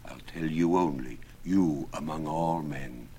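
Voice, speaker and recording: A man's voice: very deep voice, measured pace, dark tone, slight background noise. A man voicing a sly young scholar who confides and warns portentously in a dramatised verse tale. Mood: secretive, ominous. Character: sly, scheming young male scholar, mock-solemn.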